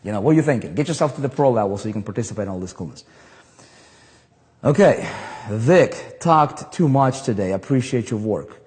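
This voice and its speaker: A man's voice: mid-range voice, lively intonation, brisk, a little mellow. Rambling salesy man, echoing hall. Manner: rambling, full of fillers and restarts; hyped, salesy. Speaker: affiliate marketing group host. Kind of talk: casual sales pitch to members.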